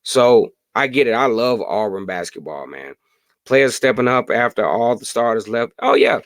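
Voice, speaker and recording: A hoarse man in his late thirties hosting a casual sports podcast live stream, chatting freely and answering viewer comments. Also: medium pitch, expressive, normal speed, dry recording. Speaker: podcast host.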